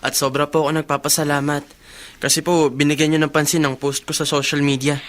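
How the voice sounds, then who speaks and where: A man's voice: on the high side, level tone, quick pace, a bit bright, lightly acted. An actor playing a polite, earnest young man in a radio drama, respectful and sincere. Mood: grateful, hopeful.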